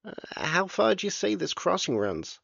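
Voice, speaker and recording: A man's voice: high voice, wildly swooping pitch, moderate pace, creaky, a bit bright, slightly rough, no room sound, muffled recording, pitched above the performer's own voice, heavily acted. A man voicing a small, bespectacled male state surveyor, polite and pushy, talking fussily with hesitant "uh"s. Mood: smooth-talking. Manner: fussy, ingratiating, hesitant "uh"s.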